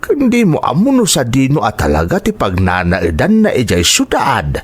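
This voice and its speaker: A man with a Filipino accent playing a cautious, suspicious middle-aged father in an audio drama, with a husky voice. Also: mid-range voice, wildly swooping pitch, moderate pace. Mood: wary, concerned.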